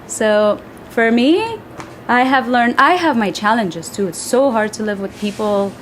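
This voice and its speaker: A woman's voice: on the high side, expressive, measured pace, a little hiss or hum, poor audio. A woman talking casually and reflectively in an interview. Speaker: resident of a collective house, interviewee.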